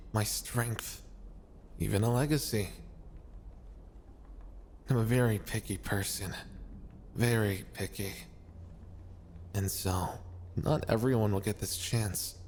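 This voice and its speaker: A man's voice: on the low side, darkish tone, a little hiss or hum. A man playing a brash young king, an obsessive yandere, in an intimate, intense ASMR roleplay.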